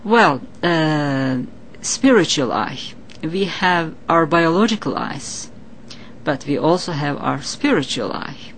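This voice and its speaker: A woman with a Turkish accent answers interview questions in a slow, earnest way. Her voice sits a little low, and her English has non-native grammar. Faint noise runs under the recording. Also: expressive. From Turkey. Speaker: psychic guest.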